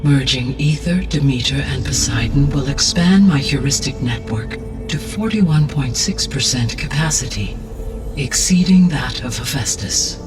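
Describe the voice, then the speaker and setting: A man voicing a calm, benevolent artificial intelligence in a video game, speaking in a formal, precise and measured way. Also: medium pitch, far from the mic, lightly acted. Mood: measured, explanatory. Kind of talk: scripted video game cutscene dialogue. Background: background music.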